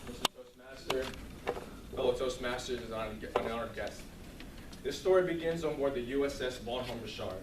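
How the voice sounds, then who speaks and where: Guy doing a comic tall tale speech on stage, theatrical timing, echoey far off mic. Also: medium pitch. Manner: theatrical, deliberate comic delivery. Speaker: speech contest contestant. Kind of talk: humorous storytelling speech to an audience. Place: room with an audience. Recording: roomy, distant mic, clearly noisy.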